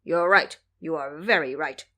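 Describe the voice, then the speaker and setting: A woman's voice: low-pitched, unhurried, sharp tone, pitched below the performer's own voice. A woman voicing a jovial, simple male pig disciple in an audio drama. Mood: agreeable.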